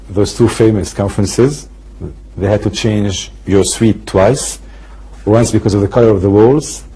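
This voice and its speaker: A man's voice: on the low side, warm tone, slightly rough, rough recording. A man, a native Arabic speaker, hosting a TV interview and asking pointed, probing questions. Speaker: TV interview host.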